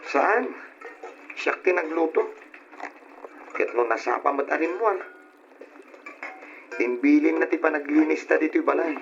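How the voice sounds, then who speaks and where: A man playing a responsible young older brother in a radio drama, speaking in a gentle, advising way. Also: fairly high pitch, a little slow, long pauses, faint music behind. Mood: caring, instructing. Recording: dull, noisy old radio drama recording.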